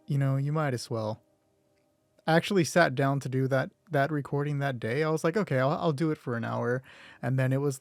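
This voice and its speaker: A man's voice: mid-range voice, darkish tone. A man hosting a solo roleplay show in casual, rambling, self-deprecating commentary, swearing offhandedly. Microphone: close mic with gain set high.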